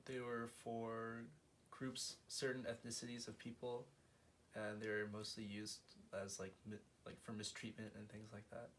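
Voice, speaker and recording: A man's voice: fairly low pitch, monotone, normal speed, a bit bright, slight background noise, poor audio. A man, a student answering interview questions in a hesitant, vague way.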